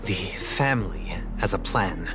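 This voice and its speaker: A grave and devout brother from a video game, low and unhurried. He speaks with formal, righteous solemnity in stately old-fashioned phrases, with music underneath. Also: animated. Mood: righteous menace. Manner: solemn, archaic, measured speech. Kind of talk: dramatic video game voice acting.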